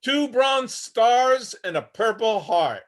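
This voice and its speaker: Male actor as weary 73-year-old homeless war veteran, sardonic and blunt, very high-pitched for a man, stage play reading. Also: big pitch swings, takes it slowly, full character voice. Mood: wry, bitter. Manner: wry, blunt, streetwise. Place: remote video-call reading.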